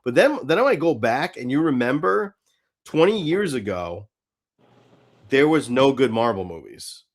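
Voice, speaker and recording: Man, average pitch, casual podcast co-host, halting, self-interrupting, mildly sweary fan talk. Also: very animated.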